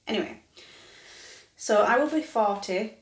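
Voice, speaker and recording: A woman's voice: mid-range voice, expressive, unhurried. A woman in her thirties chatting casually to camera, rambling and correcting herself as she goes. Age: 30s. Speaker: vlogger. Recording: echoey room.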